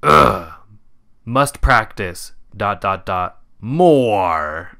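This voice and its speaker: A man's voice: lowish voice, very animated, extremely slow, creaky, pushed and bright. A man co-hosting a casual podcast, reading comments aloud for a guessing game and laughing often. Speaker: podcast co-host running a quiz segment.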